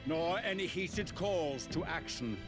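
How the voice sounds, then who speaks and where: An actor playing a solemn, pious old high priest, giving stately, measured oratory in a fantasy game. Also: male voice, expressive, over music. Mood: solemn exhortation. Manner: measured, exhorting.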